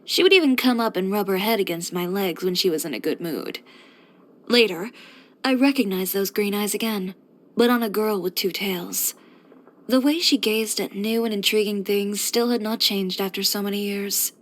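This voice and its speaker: A woman acting as a self-assured young boutique tailor from a video game. She is blunt and headstrong yet composed, speaking in a mid-pitched voice with a matter-of-fact, dryly confident delivery. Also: moderate pace, close to an everyday voice. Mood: reflective, fond. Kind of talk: video game character voice lines.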